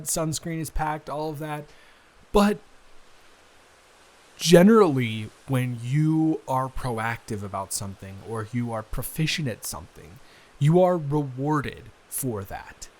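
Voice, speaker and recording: A man playing a responsible, wry eldest son who rambles hesitantly in an improvised intimate audio roleplay. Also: mid-range voice.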